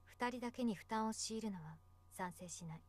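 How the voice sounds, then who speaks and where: A woman playing a quiet, detached, terse teenage schoolgirl in a visual novel game. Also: fairly high pitch, very fast, natural voice. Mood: flat, resolute.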